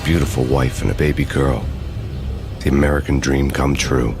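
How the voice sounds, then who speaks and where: An actor playing a grim, world-weary male detective who narrates in a flat noir drawl over music. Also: very deep voice, normal speed. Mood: brooding. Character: grim hard-boiled male cop, middle-aged, haunted.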